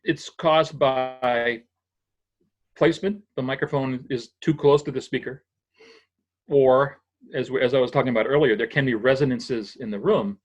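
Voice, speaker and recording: An older man from Massachusetts talks a guest through sound engineering in a patient, explanatory way, restarting his phrases as he thinks. His voice is husky and sits at an ordinary pitch for a man. The recording is dull and lo-fi. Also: moderate pace. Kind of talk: casual technical interview. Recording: dull lo-fi audio.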